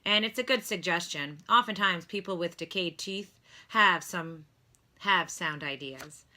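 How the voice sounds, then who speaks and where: Lady reading as a friendly dentist man in a kids book, brisk n emphatic, bright voice. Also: fairly low pitch. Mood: encouraging. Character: brisk, friendly adult male dentist, advising.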